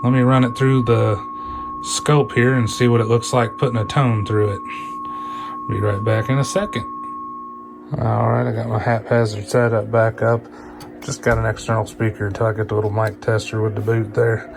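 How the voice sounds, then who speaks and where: A man's voice: fairly low pitch, little pitch movement, moderate pace, vocal fry, music in the background. A man giving a casual hands-on equipment demonstration, folksy and colloquial, muttering to himself as he works. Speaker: video host reviewing a vintage CB radio.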